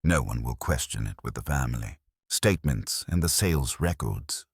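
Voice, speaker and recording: A man's voice: rumbling low, lively intonation, moderate pace, husky, no room sound, clean studio sound, voice pushed down, full character voice. A man voicing a cold, scheming woman executive in a narrated story, confident and calculating. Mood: cold satisfaction.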